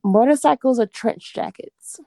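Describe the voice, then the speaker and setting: A woman hosting a casual interview, asking questions in a relaxed, friendly way with loose fillers. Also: fairly high pitch, a little slow, vocal fry, slight background noise. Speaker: host, interviewer.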